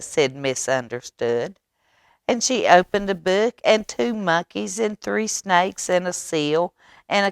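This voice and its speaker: A woman reading a picture book aloud to children in a dramatic, expressive way, drawing out words. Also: on the low side, clear, resonant tone, no echo. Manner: dramatic, expressive, with drawn-out words and hushed asides. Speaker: library storyteller reading to children. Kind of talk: animated picture-book read-aloud with sound effects.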